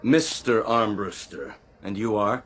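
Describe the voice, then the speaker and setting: Pompous, low-voiced store manager. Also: male voice, a little slow, a bit bright, soft background music. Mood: officious. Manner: pompous, clipped, officious. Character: fussy, pompous male department store floor manager. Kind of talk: radio drama acting.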